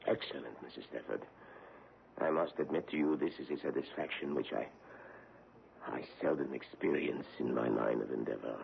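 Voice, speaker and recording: An actor playing a cool, formal foreign agent who speaks in a deep gravelly voice, in a 1970s radio drama. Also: male voice, a little hiss or hum, exaggerated voice. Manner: measured, formal and controlling. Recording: tinny old radio broadcast.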